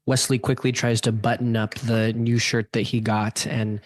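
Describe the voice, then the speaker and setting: A man's voice: mid-range voice, flat delivery, moderate pace, dry recording, clean studio sound. A man setting scenes as narrator of an improvised radio play, describing the action as it unfolds.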